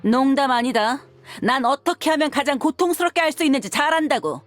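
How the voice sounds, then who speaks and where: A woman's voice: sits high, lively intonation, fairly quick, soft background music. A woman playing a tough, frank and confident mercenary in a video game, with a blunt, assertive way of talking. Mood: menacing.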